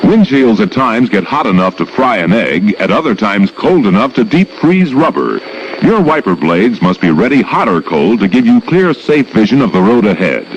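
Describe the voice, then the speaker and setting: Animated 1960s radio ad announcer, dull recording. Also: male voice, lowish voice, normal speed. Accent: American. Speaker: commercial announcer. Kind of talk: 1960s radio advertisement read. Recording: dull, faintly noisy old radio recording.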